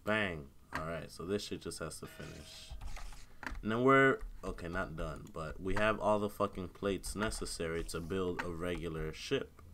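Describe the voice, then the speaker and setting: A man's voice: sits low, normal speed, background noise. A young man streaming a game on a headset mic, muttering and swearing as he plays, congested from a cold and a sore throat. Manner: laid-back, mutters to himself, swears often. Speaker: gaming streamer. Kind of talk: casual live gameplay commentary. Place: home room at a computer.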